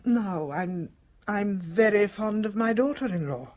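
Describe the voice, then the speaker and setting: An older woman playing a dying British matriarch in a radio drama, speaking in a measured, formal, guarded way. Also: mid-range voice, expressive, darkish tone. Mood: grave, confiding. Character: composed, dying elderly matriarch with secrets.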